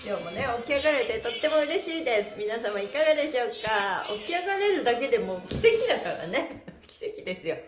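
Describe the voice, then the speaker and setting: A middle-aged woman with a fairly high voice hosts an upbeat stretching stream. She is cheerful and courteous, chatting and counting along. The room sounds echoey, with faint music behind her. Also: very animated, quick pace. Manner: cheerful, smiling, very polite with honorifics. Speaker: stretching instructor and livestream host. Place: small indoor room, on a mat.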